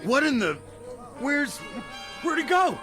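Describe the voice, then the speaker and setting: An actor playing a brisk, gruff frontier lawman, curt and commanding, in a radio drama. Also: very high-pitched, big pitch swings, over music, full character voice. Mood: stunned.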